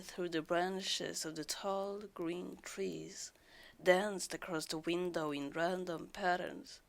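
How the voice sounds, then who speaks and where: A woman's voice: fairly low pitch, creaky. A woman with a sore throat, talking casually and hesitantly with many fillers before reading a scary story aloud.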